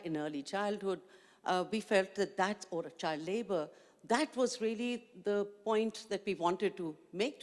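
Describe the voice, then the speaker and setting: A woman's voice: fairly low pitch, a little mellow. A middle-aged woman from Pakistan whose first language is Urdu, speaking as a panelist in a panel discussion with reflective storytelling. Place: large conference hall stage. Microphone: handheld mic. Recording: long hall reverb.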